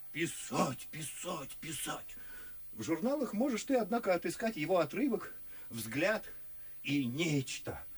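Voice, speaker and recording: An actor playing a boastful, good-natured, foolish gentleman in a radio play, gushing and confiding in theatrical verse with a husky voice. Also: fairly high pitch, full character voice. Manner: gushing, exclamatory, conspiratorial, drawing words out.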